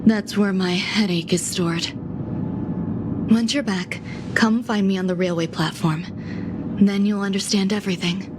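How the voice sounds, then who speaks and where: A woman voicing a composed, aloof scientist in a video game, speaking in a measured, courteous, understated way. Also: mid-range voice, steady tone, background noise, natural voice. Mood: calm, instructive. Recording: music behind the voice.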